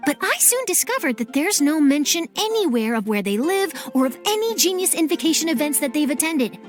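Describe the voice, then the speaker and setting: An actress playing an upbeat, inquisitive young journalist in a video game cutscene. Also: female voice, sits high, big pitch swings, a little fast, soft background music. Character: upbeat curious young woman reporter.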